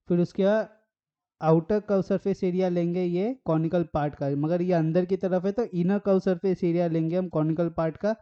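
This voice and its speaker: A hoarse man teaching maths at a whiteboard, his voice mellow and a little dark. He works through each step and keeps asking what is left or what comes next. The recording sounds dull and lo-fi. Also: high voice. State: hoarse from a sore throat.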